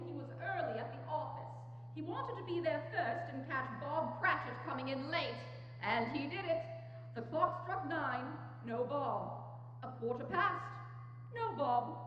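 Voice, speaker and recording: A woman narrates a scripted tale dramatically. Her high voice is lively and expressive, recorded muffled in a church hall with long echo. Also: unhurried, slight background noise. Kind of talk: dramatic storytelling read from a script. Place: church, community dramatic reading.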